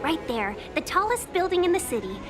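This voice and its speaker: A woman playing a polite young fox woman, a smooth-spoken envoy and guide in a video game, with courteous, formal phrasing. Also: very high-pitched, big pitch swings, brisk. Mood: polite urgency. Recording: music behind the voice.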